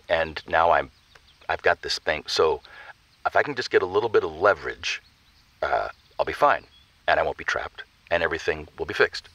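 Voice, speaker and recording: Stubborn hiker caller, low forceful voice. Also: male voice, dry recording. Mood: strained, evasive. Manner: halting, rambling, argumentative. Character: stubborn trapped injured male hiker, defensive, rambling. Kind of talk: improvised radio call-in drama.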